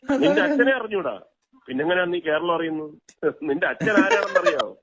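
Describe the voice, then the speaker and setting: A man's voice: high voice, big pitch swings, a little fast, a crowd in the background. A man with a Kerala Malayalam accent firing blunt, irritated questions in a phone conversation. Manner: blunt, interrogating. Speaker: recipient of a prank phone call.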